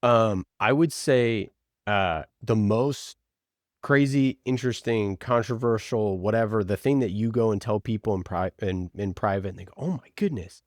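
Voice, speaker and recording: Very deep-voiced man in a casual interview. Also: normal speed, no echo, clean studio sound. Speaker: podcast producer, interview guest. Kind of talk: casual conversational interview answers.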